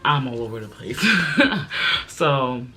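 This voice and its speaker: A woman's voice: a bass voice, slow, sharp tone, soft background music. A woman in her twenties, raised in Belgium, chatting casually and rambling to camera with lots of fillers. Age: 20s. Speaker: YouTuber. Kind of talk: casual chit-chat vlog.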